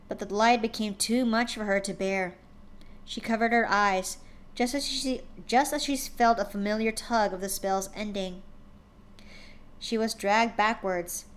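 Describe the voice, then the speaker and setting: A woman's voice: medium pitch, clean tone. A woman streamer reading a story aloud live, often stumbling and correcting herself.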